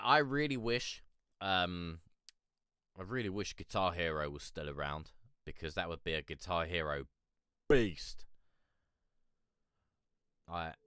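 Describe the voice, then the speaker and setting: A man's voice: sits low, clean tone, no room sound, studio quality. A man hosting a music review stream, talking casually and rambling with congestion from a cold. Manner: rambling, excitable, slangy, trailing off. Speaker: music review show host. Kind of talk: casual live-stream commentary.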